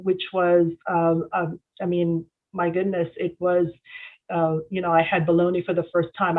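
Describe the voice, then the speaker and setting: Middle-aged woman telling her story, tinny line. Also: on the low side. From southern India. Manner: warm storytelling with frequent fillers. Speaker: event speaker. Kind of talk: personal talk to an audience.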